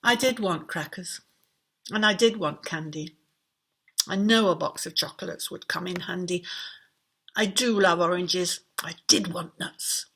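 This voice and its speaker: A lonely storybook king, played by a woman reading aloud, full of childish longing and self-pity. The delivery is slow, pleading and dramatic, with a husky edge to the voice. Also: fairly low pitch, a bit bright, full character voice. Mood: glum longing. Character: lonely, childishly wishful bad king, hopeful and self-pitying.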